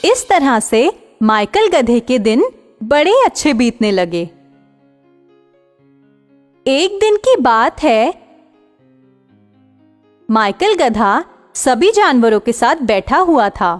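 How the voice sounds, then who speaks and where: Woman telling a kids cartoon story, high animated voice, slow, echoey with music. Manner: expressive, storytelling. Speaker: narrator. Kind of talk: children's story narration.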